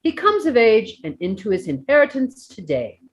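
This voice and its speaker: A woman voicing an elderly male hobbit making a jovial, deliberate birthday speech in a storybook reading. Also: fairly high pitch, big pitch swings, dull audio. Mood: ceremonious, measured. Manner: oratorical, deliberate.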